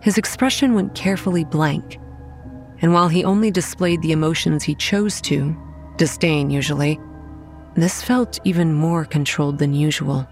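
A woman's voice: low voice, moderate pace, faint music behind. An actress playing a sarcastic, determined young woman who tells her own story in a horror audio drama. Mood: grim, wry. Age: young adult. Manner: wry, first-person storytelling.

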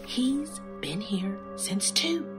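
A woman's voice: on the high side, animated, drawn-out words, music in the background. A woman playing a blunt, sardonic but protective bookstore worker in a radio drama. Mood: exasperated.

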